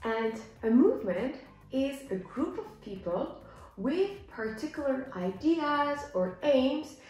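A young woman teaches English with a lively, swooping intonation and a slow delivery. She reads simple story lines and explains the words deliberately for learners. The room is very echoey around her voice. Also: sits high. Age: young adult. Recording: echoey room, music underneath. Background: background music.

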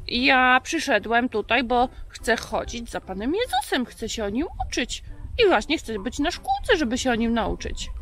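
Woman voicing an eager little boy puppet, high-pitched. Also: very animated, moderate pace, fairly bright tone. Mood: curious, earnest. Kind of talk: children's show puppet dialogue.

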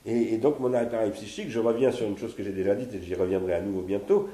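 A man's voice: fairly low pitch, fast, mellow. A man in his sixties from France giving a philosophy seminar at home, reasoning aloud in a searching way, often restarting and repeating words. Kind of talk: spoken philosophy seminar, partly read from notes. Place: living room, indoors. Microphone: fixed camera at medium distance. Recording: echoey room.

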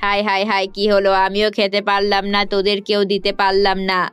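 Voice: mid-range voice, completely flat delivery, a little fast, fairly bright tone, smooth, no echo, lightly acted. A performer voicing Nandu, a lazy, greedy, cheeky male ghost who whines and wheedles in a children's cartoon. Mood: dismayed. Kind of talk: Bengali children's cartoon voice acting. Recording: music behind the voice.

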